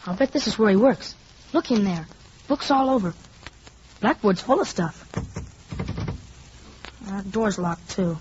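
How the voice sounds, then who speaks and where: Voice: medium pitch, animated, unhurried, long pauses, a little mellow, close to an everyday voice. A performer playing an eager, chatty young boy with an informal, wide-eyed gee-whiz manner in a 1950s radio drama. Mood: curious, impressed. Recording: dull, noisy 1950s radio recording.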